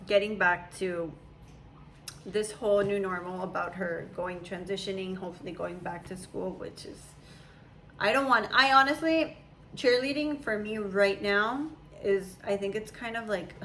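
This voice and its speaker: A woman's voice: mid-range voice, measured pace, a bit bright, a little hiss or hum, rough recording. A young woman, a mother, chatting casually and rambling as she explains her view. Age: young adult. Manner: chatty, rambling, laughs often. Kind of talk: casual chat.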